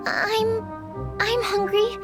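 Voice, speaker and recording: A bashful young game researcher with an extremely high, girlish voice. She speaks politely and earnestly, often hesitant and apologetic. Game music runs behind her. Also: level tone, extremely slow, heavily acted. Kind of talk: video game character acting.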